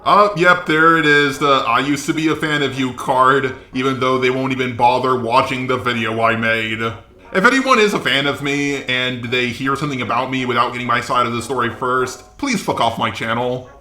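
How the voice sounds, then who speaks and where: Sarcastic man with a bright, forceful voice. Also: medium pitch, normal speed, echoey room. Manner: sarcastic, profane, exasperated. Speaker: YouTube commentator. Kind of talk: combative commentary reacting to online comments.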